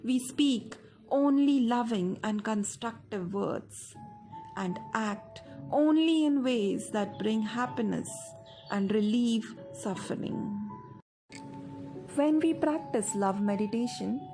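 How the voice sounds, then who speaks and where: A woman with an Indian accent guides a meditation in a fairly high voice. She reads very slowly and deliberately, leaving space between phrases. Soft music plays behind her. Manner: measured, deliberate phrasing with pauses. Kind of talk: guided meditation narration, scripted.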